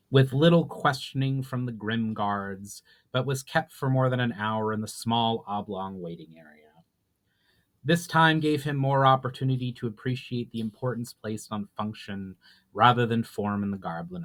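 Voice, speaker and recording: Male author narrating fantasy, mid-pitched. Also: fairly bright tone. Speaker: author narrating his own book. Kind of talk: dramatic scripted audiobook narration.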